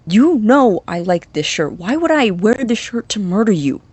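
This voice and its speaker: A woman playing a nervous, awkward young scientist in a naturalistic audio drama, husky and halting, easily flustered. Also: medium pitch, very animated, a little mellow, dry recording. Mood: wounded, exasperated. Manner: halting, stammering, indignant when challenged.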